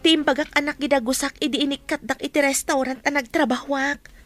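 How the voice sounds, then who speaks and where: A woman's voice: high-pitched, steady tone, a little fast, fairly bright tone, soft background music. A Filipino woman acting in a radio drama as a kind young heiress and new boss, earnest and emotive. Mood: respectful.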